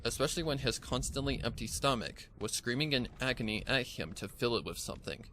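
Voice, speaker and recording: A man narrating a dark fan fiction story from a script in a measured, somber, dramatic manner. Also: mid-range voice, animated, slight background noise.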